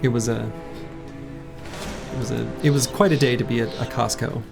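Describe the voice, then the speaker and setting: Slightly hoarse, gravelly-voiced male streamer. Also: mid-range voice, fairly even intonation, moderate pace. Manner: rambling, chatty, greets chat viewers. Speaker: game streamer. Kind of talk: casual live-stream chat while playing. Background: faint game music.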